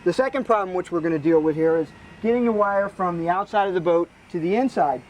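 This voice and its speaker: A man's voice: high-pitched, fast, poor audio. A man giving casual, practical technical explanations outdoors as a hands-on expert. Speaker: boat rigger, expert guest. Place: outdoors on a boat.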